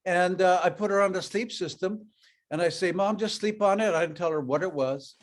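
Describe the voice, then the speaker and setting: A man's voice: high-pitched, a little fast. Older man telling his own story. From Europe, raised in North America. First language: Ukrainian. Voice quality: conversational, storytelling, frequent fillers. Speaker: network marketing speaker. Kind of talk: personal story told to an audience.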